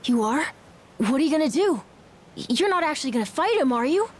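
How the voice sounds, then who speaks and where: A woman's voice: high-pitched, sing-song, fairly quick. A woman voicing an earnest, stubborn ten-year-old boy who pleads in frustration, in a video game. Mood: anxious.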